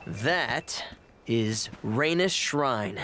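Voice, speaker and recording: An actor playing a driven, scheming ritual caster in a fantasy video game, with a measured, assured, explanatory delivery. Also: male voice, mid-range voice, lively intonation, pushed and bright, full character voice. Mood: calm, instructing. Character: driven, scheming adult male ritual caster, outwardly composed. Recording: music under the voice. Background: game music.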